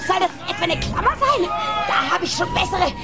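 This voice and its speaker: A woman's voice: very high voice, animated, a little fast. An actress playing a cocky, aggressive female wrestler, mocking and taunting in a German radio drama. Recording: loud music and roaring crowd.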